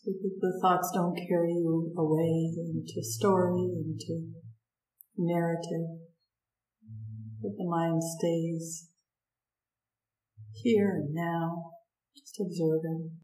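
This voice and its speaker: A woman guides a meditation in a slightly low voice. She speaks very slowly, offering gentle instructions in short phrases and leaving long pauses between them. The sound is roomy, with noticeable echo around her words. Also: flat delivery.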